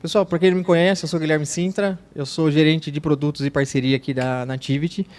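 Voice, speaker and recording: A man emcees a corporate event and introduces the next speakers. He talks fast in a fairly high voice. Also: fairly even intonation, a little mellow. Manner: brisk introductions. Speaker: product and partnerships manager, event emcee.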